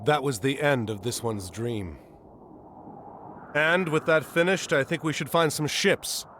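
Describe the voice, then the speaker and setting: A man's voice: mid-range voice, unhurried, a bit bright, a little hiss or hum, natural voice. An actor playing a resolute, heroic admiral in a video game, a man with a commanding and earnest delivery. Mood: measured, wry. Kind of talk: dramatic video game voice acting. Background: faint music.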